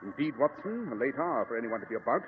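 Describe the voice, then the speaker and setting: Composed gentleman detective male actor, fast formal delivery, animated intonation, 1940s radio play, tinny noisy recording. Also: medium pitch, music in the background, natural voice. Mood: composed, alert.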